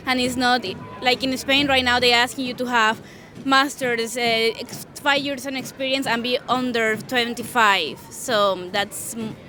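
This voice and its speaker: A woman from Colombia answering street interview questions, halting and correcting herself as she talks. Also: a little high, bright, forceful tone, a little hiss or hum. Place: city street outdoors. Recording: busy street crowd behind. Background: crowd.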